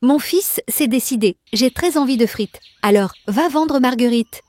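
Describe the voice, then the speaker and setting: A woman playing a bossy, easily exasperated farm mother in a children's cartoon fairy tale, decisive and expressive. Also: high-pitched, a little slow, dry recording, studio quality. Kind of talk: children's cartoon storybook acting.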